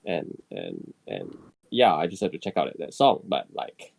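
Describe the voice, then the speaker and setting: A man on a podcast panel chatting casually, often repeating words in quick stammered restarts. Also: very deep voice, huge pitch swings, creaky, darkish tone. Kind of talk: casual chat.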